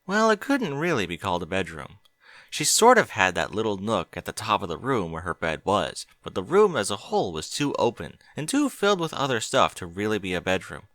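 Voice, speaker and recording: A man reading scripted fiction as an audiobook narrator, measured and expressive. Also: on the low side, no echo.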